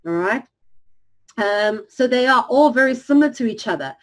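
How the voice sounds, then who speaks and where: A woman giving a lecture-style presentation, talking in a measured way with frequent ums and restarts, drawing out key words slowly. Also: fairly high pitch, expressive.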